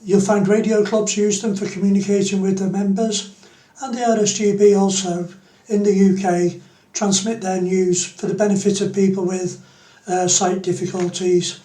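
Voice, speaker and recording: A man's voice: sits high, warm tone, reverberant. A middle-aged man, giving an informal explanatory tutorial as a hobbyist. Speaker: amateur radio hobbyist presenting a tutorial.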